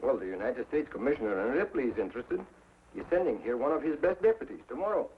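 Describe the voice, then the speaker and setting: An actor playing a calm, courteous and resolute cowboy hero in a 1950s television Western. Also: male voice, medium pitch, quick pace, slightly rough. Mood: courteous, assured. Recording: tinny, band-limited 1950s TV soundtrack with music.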